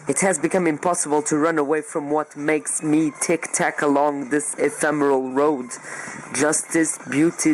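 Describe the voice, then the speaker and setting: A man with a slightly high voice delivers a poetic, scripted narration. His manner is solemn and declamatory. Clear ambient noise runs through the recording. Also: rough recording.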